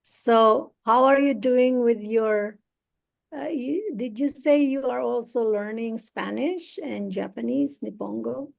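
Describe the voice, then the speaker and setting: A woman tutoring English over a video call, talking slowly and encouragingly with many fillers. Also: fairly high pitch, gravelly. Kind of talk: encouraging online speaking practice.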